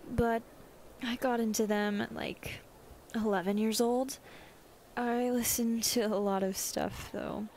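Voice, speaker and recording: A woman's voice: on the high side, little pitch movement, takes it slowly, darkish tone, slight background noise. A woman playing a laid-back, friendly young goth student with an easygoing manner in an ASMR roleplay. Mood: relaxed.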